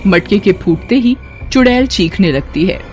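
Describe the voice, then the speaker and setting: Female narrator for scripted horror stories, medium pitch, prominent music bed. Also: animated, moderate pace, darkish tone.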